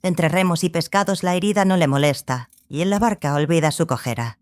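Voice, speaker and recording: Woman playing a composed, low-key scholarly sorceress mentor. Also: a little fast, lightly acted. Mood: detached. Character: small sorceress, learned lady mentor, composed and exacting. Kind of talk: audiobook drama acting.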